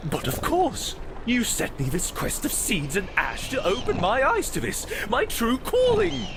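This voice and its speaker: Vain gentleman hero, high voice, wildly swooping pitch, pompous theatrical flourish, game cutscene. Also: huge pitch swings, unhurried, background noise, exaggerated voice. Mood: exultant. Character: flamboyant, vain, self-styled gentleman hero and inspector. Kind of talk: fan-dub game cutscene acting.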